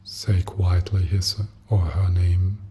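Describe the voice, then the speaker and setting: Low, slow, hushed male meditation guide. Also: level tone, a little hiss or hum. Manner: gentle, hushed, unhurried with long pauses. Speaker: meditation teacher.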